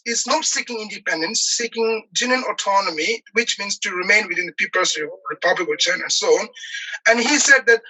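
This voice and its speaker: A man in his forties from Nepal, speaking as an interview guest over a video call, earnest and halting with many pauses and fillers. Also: sits high, pushed and bright, low-quality recording. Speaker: guest, activist. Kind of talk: earnest personal account in an interview.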